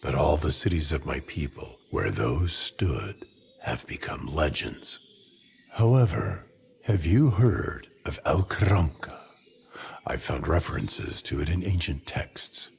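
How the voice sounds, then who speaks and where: An actor playing an earnest, resolute ancient non-human male being in a fantasy game, speaking formally in gravelly measured phrases. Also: very deep voice, very animated, a little mellow, exaggerated voice. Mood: earnest, thoughtful. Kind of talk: fantasy game cutscene acting.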